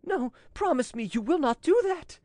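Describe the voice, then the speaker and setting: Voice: high-pitched, lively intonation, moderate pace, darkish tone, no room sound, studio quality, pitched above the performer's own voice. A narrator voicing a frightened young Frenchwoman who pleads with theatrical, imploring urgency in an audiobook story. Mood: frightened pleading.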